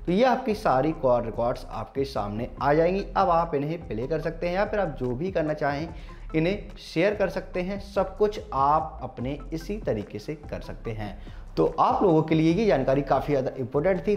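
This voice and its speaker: A man's voice: a little high, lively intonation, moderate pace, dull audio. An Indian man hosting a tech tutorial, speaking formally to viewers in an instructional, step-by-step way. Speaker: tech channel host. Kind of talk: scripted-sounding phone tutorial narration.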